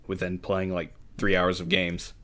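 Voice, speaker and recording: A man's voice: low-pitched, completely flat delivery, measured pace, a bit bright. A man co-hosting a podcast in casual chat, jokey and rambling with fillers. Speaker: podcast co-host.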